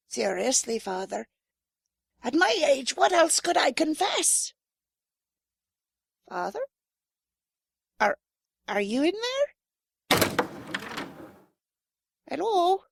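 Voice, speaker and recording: A woman's voice: sits high, big pitch swings, very slow, gravelly, long pauses, slightly rough, dry recording, clean studio sound, heavily acted. An actress playing a fussy, indignant older mother at confession in an audio drama. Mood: impatient. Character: gossipy, envious older churchgoing mother at confession.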